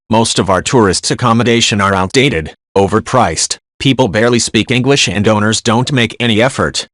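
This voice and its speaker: A man reading forum posts aloud in an even read-aloud delivery, saying symbols out literally. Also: on the low side, dry recording, clean studio sound.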